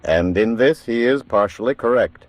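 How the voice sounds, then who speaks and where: A man narrating a wartime radio documentary drama in a measured, authoritative 1940s style. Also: mid-range voice, expressive, dry recording.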